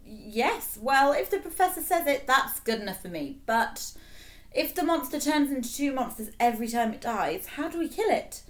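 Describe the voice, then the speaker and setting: A man's voice: very high-pitched, sing-song, moderate pace, voice pushed up. A woman voicing a vain, gullible king in a storybook, with anxious, dramatic exclamations. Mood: doubtful.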